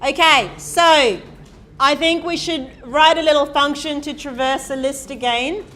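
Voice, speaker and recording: A woman giving a live coding lecture, talking loudly and quizzing the class as she types. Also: high voice, a little slow. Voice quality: loud voice. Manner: chatty, asks the class questions, thinks aloud while typing. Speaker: university programming lecturer. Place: lecture hall.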